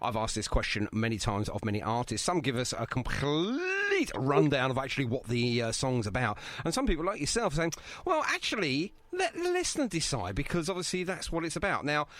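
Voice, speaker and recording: British radio host guy, chatty n matey, really animated, bright punchy voice. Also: on the high side, a little fast, hardly any pauses, no echo. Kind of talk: casual music interview banter.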